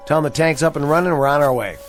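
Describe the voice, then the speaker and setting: An actor playing a calm, commanding modern army tank sergeant in a radio drama. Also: male voice, a little high, very fast, music in the background, close to an everyday voice. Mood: brisk, commanding. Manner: calm, authoritative.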